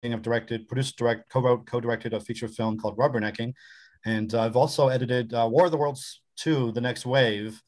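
A man's voice: mid-range voice, fairly even intonation, a little fast, a little mellow. A man, a screenwriter, introducing himself and his credits on a video call. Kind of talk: self-introduction pitch.

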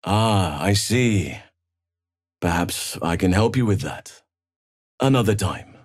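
An actor playing a calm, earnest and wise male druid healer, protective in temper, for a video game. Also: low-pitched, slow, dry recording, clean studio sound, heavily acted. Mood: calm, gentle. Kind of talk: video game character voice lines.

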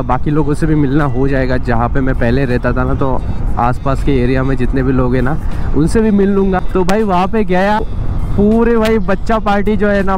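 A young man rambles cheerfully to his viewers while out on his motorbike, his voice sitting a little on the high side. He speaks from the road, caught by a camera mic, with faint music underneath. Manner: chatty, addresses viewers as guys and brother. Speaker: motovlogger. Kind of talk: casual vlog chat. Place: outdoors on a road.

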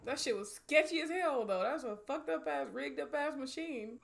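A young adult woman giving casual, excitable, slangy reaction commentary as she watches a movie. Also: high-pitched, normal speed, gravelly. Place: small bedroom studio indoors.

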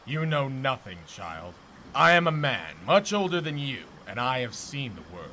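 A man acts a scornful older blacksmith and widower from an ancient Greek audio drama. His voice is hard and forceful, with animated, declamatory swings of pitch. He sounds haughty and bitter, over noticeable background noise. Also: moderate pace.

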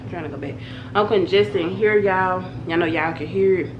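A young woman talks to her viewers through a cold, her voice stuffy and nasal. She rambles on in a chatty, relaxed way, and her low-pitched voice is caught by a phone held at arm's length. The recording carries a clear hiss of background noise from the house. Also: moderate pace, muffled recording. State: congested with a cold. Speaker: family vlogger. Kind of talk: casual vlog chat to camera. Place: bedroom at home, indoors. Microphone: phone camera held at arm's length. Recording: noisy home phone recording.

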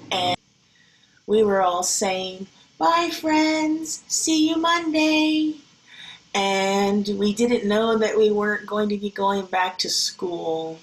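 A woman teacher reading a picture book and talking to young children in an expressive, deliberate, child-directed way. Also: medium pitch, rough recording. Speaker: schoolteacher reading to pupils. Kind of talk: picture-book read-aloud and talk to children.

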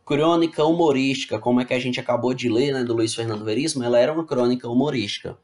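A male teacher at a middle pitch talks through a slide lesson. He reads each point aloud and then explains it again in everyday words. He keeps checking whether the students are following along. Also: fast, darkish tone. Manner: explains casually, checks in with "né?" and "tá bom?". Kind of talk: online class lecture over slides.

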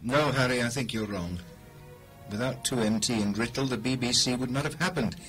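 An earnest 1920s chief engineer, played by a man, with a medium-pitched voice. He is proud and measured, addressing his team in a radio play. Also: a bit bright, faint music behind, close to an everyday voice.

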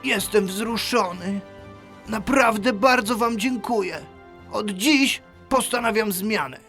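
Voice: medium pitch, slow, a bit bright, soft background music. An actor voicing a jealous, touchy male bumblebee in a theatrical children's audio fairy tale. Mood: moved, sincere. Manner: indignant, theatrical.

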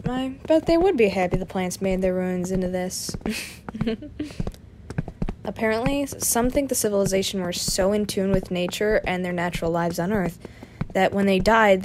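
A woman playing a playful, excitable young male adventurer in an audio roleplay, chatty and expressive, affectionate with a partner. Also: medium pitch, normal speed, background noise, natural voice. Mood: curious, playful. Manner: breezy, giggly, expressive, chatty.

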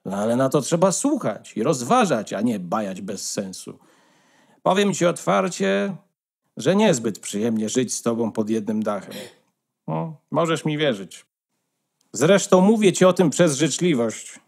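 A man's voice: mid-range voice, sing-song, unhurried, gravelly, a little mellow, full character voice. A man playing a bossy, smug female hen who lectures condescendingly in a fairy-tale radio play. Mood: condescending, scolding.

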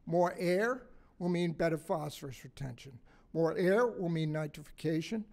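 An older man in his sixties gives a conference talk at a podium mic, his voice slightly raspy and pitched high for a man. He speaks bluntly and folksily, joking and putting questions to the room. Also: lively intonation, moderate pace, a little mellow. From Massachusetts, USA. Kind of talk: conference talk to a live audience. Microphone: stand mic on a podium.

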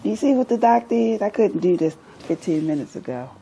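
A woman's voice: medium pitch, lively intonation, brisk, gravelly, dark tone, a little hiss or hum. A woman in her thirties, a patient reacting in a casual, joking way, miked close in a treatment studio. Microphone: clip-on lapel mic.

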